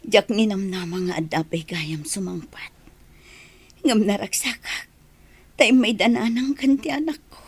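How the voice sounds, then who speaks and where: A woman's voice: medium pitch, a little slow, pauses often, slightly rough, no echo. A woman playing an emotional grown daughter with an Ilocano accent in a radio drama. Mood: hushed, eager. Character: emotional grown daughter and mother seeking her mother's forgiveness.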